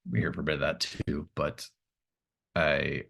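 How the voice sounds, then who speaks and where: A man's voice: extremely low pitch, level tone, creaky, a bit bright, exaggerated voice. A man voicing a stern, businesslike woman in charge of a camp, speaking firmly and formally in a tabletop game. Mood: stern, controlled. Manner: firm, measured, formal.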